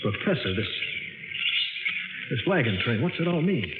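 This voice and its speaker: A man's voice: mid-range voice, very animated, moderate pace, heavy background noise, close to an everyday voice. A man playing a curious, skeptical expedition companion in a 1940s radio drama, earnest and dramatic. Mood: awed. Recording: narrow-band echoing old radio recording.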